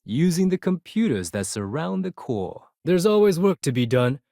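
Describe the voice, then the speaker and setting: Sardonic space pirate accountant, animated pitch. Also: male voice, moderate pace, creaky, no echo. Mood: businesslike, smug. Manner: precise, formal, condescending, dry sarcasm. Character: proud, sardonic space pirate fleet accountant, adult man. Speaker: video game character voice actor. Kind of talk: scripted game dialogue.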